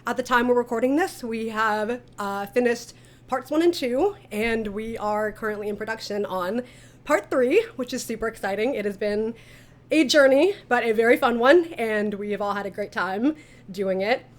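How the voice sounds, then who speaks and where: Woman interviewer, kinda high voice, chatty and informal, hosting a cast chat. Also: moderate pace. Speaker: interview host and audio drama creator. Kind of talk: casual online cast interview.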